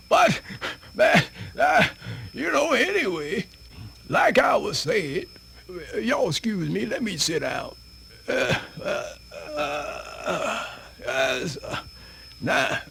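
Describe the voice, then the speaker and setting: An actor playing a spirited, folksy elderly man with a Southern US accent, rambling and stammering through a radio theatre monologue in a raspy voice. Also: fairly bright tone, a little hiss or hum, exaggerated voice. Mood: winded, rambling. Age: older. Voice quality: raspy, creaky, high-pitched.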